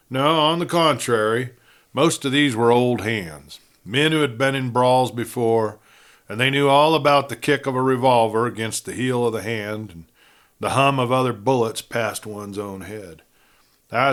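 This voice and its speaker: A man's voice: mid-range voice, fairly bright tone. A man reading a western novel aloud as a folksy storyteller, with conversational asides and dramatic emphasis. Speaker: audiobook narrator and host.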